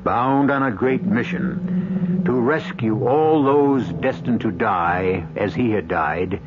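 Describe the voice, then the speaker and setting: A man's voice: medium pitch, slow, heavy background noise, lightly acted. A man playing the solemn, brooding host of radio mystery tales, narrating in a grave, portentous, theatrical manner. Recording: dull old radio broadcast under loud music.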